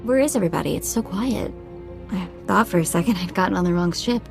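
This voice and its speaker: A woman's voice: lowish voice, fast talker, vocal fry, over music. An actress playing a sassy young female hacker sidekick in a video game, with a wry, casual delivery. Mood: playful, affectionate.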